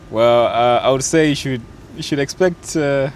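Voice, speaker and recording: A young man, an actor and content creator, chatting playfully in an interview, unhurried and hesitant with fillers. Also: mid-range voice, a little hiss or hum. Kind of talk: casual interview chat.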